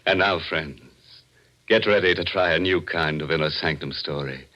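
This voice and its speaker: A man's voice: low-pitched, moderate pace, bright, forceful tone. A man hosting a 1940s radio mystery show in a theatrical, ghoulishly playful style. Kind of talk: 1940s radio host introduction, macabre joking. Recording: muffled vintage radio recording, faint music.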